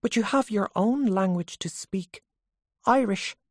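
A narrator voicing a sharp, teasing young nationalist woman with a challenging, questioning manner, in an audiobook story. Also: mid-range voice, unhurried, no echo, clean studio sound, voice pushed up, close to an everyday voice.

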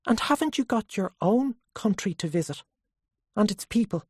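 A narrator voicing a sharp, teasing young nationalist woman with a challenging, questioning manner, in an audiobook story. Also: medium pitch, lively intonation, normal speed, breathy edge, dry recording, clean studio sound, voice pushed up.

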